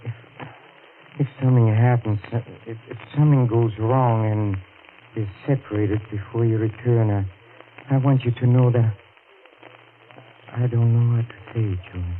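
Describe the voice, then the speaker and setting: A man's voice: mid-range voice, steady tone, lightly acted. Man acting a panicky, guilt-ridden murderer, scratchy old radio. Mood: anxious, faltering. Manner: halting, overwrought, repeating himself. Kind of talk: 1940s radio horror melodrama acting. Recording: scratchy, narrow 1940s radio recording.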